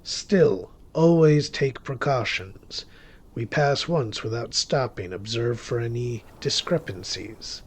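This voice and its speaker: An actor playing a wry, secretive male witch who narrates a horror-thriller audio drama in a theatrical, deliberate manner. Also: medium pitch, expressive, husky. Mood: sly, careful. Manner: wry, theatrical, deliberate, with sudden swells and drops. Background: music under the voice.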